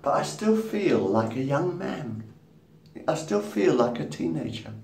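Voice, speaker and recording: Gravelly man in his fifties, lively rambling talk, echoey room, a bit off-mic. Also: fairly high pitch, unhurried, recorded from a distance. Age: 50s. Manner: rambling, swearing, laughing at his own jokes. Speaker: vlogger. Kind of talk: rambling casual monologue to camera. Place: small room indoors. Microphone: phone camera at arm's length. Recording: roomy echo, from the mic, faint background noise.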